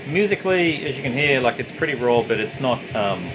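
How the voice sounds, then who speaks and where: A man's voice: mid-range voice, lively intonation, a little fast, noisy background. A man giving a casual, unscripted review in an enthusiastic, rambling way. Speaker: music reviewer presenting a video.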